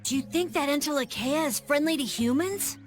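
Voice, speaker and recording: Woman playing an earnest, high-voiced young boy. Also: a little fast, faint music behind, natural voice. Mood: curious. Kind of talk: English game dub acting.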